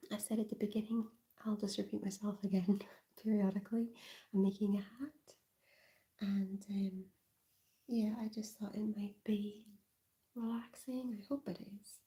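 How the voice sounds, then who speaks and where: A woman with a softened Northern Irish accent, whispering in a relaxed ASMR livestream and rambling with plenty of fillers. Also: medium pitch. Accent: Northern Irish, softened and mixed. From Northern Ireland. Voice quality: soft whisper. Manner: halting, rambling, with many fillers.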